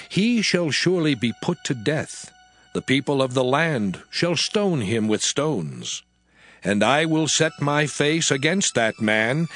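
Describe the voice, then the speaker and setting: A man narrating a dramatized scripture reading in a solemn, measured way. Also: mid-range voice. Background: music.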